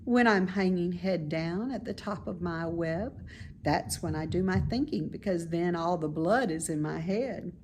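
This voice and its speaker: A woman voicing a calm, motherly female spider in a storybook, measured, comforting and gently firm. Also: fairly low pitch, noisy background, lightly acted. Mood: cool, light.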